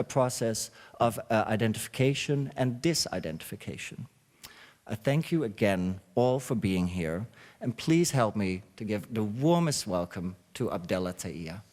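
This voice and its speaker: A man hosting a symposium, reading a reflective introduction with many pauses and fillers. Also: mid-range voice. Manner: halting, with frequent uh fillers. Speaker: symposium host. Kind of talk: read introductory speech. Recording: long hall reverb.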